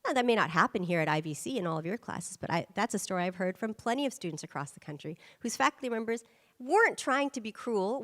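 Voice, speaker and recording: A woman's voice: mid-range voice, fairly bright tone, big-room sound. A woman giving a conference presentation, speaking emphatically and checking in with rhetorical questions. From United States. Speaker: guest speaker.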